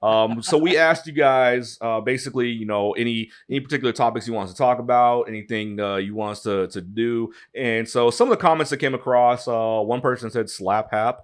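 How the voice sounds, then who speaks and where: A man's voice: medium pitch, a little fast, hardly any pauses. Rambling man co-hosting a casual chat. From Detroit, USA. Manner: rambling, many restarts and fillers. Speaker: podcast co-host.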